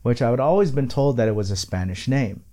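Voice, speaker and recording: Man, podcast host, from the Philippines, mid-pitched, chatty, affirming, thinks aloud. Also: animated, fast talker, mellow. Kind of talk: casual interview chat.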